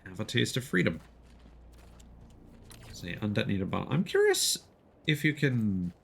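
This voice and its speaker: Male streamer, casual live gameplay talk, excitable, swinging pitch, faint game noise behind. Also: unhurried, vocal fry. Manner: excitable, exclaims when surprised. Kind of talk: live gaming commentary, casual chat with viewers.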